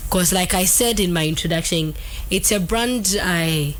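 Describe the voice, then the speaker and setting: A woman's voice: lowish voice, a little slow, fairly bright tone, a little hiss or hum, poor audio. A woman with a Ghanaian accent, talking casually as a radio interview host.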